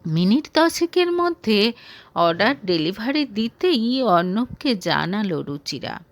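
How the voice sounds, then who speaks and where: A woman narrating a romantic audio story in an expressive, emotive, dramatic way. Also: mid-range voice, takes it slowly.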